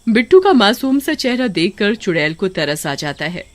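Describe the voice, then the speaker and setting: A woman's voice: mid-range voice, big pitch swings, moderate pace. A woman narrating a scripted story expressively, as a storyteller.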